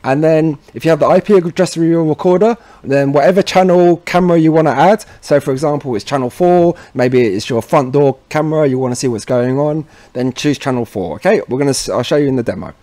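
Male tutorial presenter, relaxed mellow tone, a little higher than average, informal and chatty instructional delivery. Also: fairly quick, hardly any pauses. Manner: informal, chatty, frequently checks in with "okay". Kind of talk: casual instructional walkthrough.

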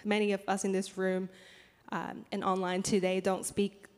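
A young woman whose first language is Cantonese, reading a prepared statement from her laptop into a handheld microphone at a conference, with frequent pauses and ums. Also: mid-range voice, level tone, a little mellow. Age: young adult. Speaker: youth panel speaker. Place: large conference hall. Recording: reverberant conference hall.

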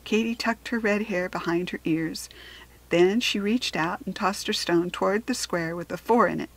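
A woman with a standard American accent reading a children's chapter book aloud in an expressive, teacherly way. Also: medium pitch, normal speed, rough recording.

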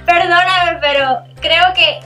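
A young woman from Argentina hosts a casual chat with friends, her voice pitched high and bubbly with frequent laughter. Music plays clearly behind her in an indoor studio, picked up by the camera mic. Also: takes it slowly. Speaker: channel host. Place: indoor studio with makeup shelves.

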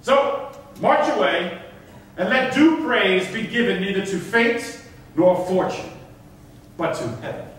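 Echoey, high-pitched stern governor played by a man. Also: animated, unhurried, pauses often, a bit bright, slight background noise. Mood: stern, triumphant. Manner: declamatory, commanding. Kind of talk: classical stage acting, Elizabethan verse. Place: on stage in an auditorium. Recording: echoey theatre stage, distant microphone.

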